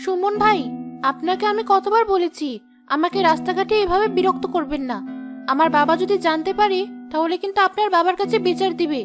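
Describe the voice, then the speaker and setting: A woman voices a poor teenage village girl in a cartoon, very high in pitch and quick in delivery. She is proper and firm, scolding politely, with prominent music underneath. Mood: indignant. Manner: polite but firmly scolding. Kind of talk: Bengali cartoon voice acting.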